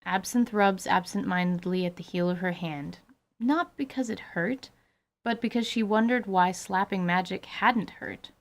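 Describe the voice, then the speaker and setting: A woman reading scripted story narration in a measured, literary manner. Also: mid-range voice.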